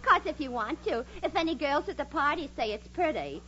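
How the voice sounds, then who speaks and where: A woman's voice: high voice, animated, brisk, pushed and bright. An actress playing a cynical, man-hungry hairdresser with brassy wisecracks in a 1940s radio comedy. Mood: breezy. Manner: brassy wisecracks, calls everyone dearie. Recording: muffled noisy old radio recording, studio audience.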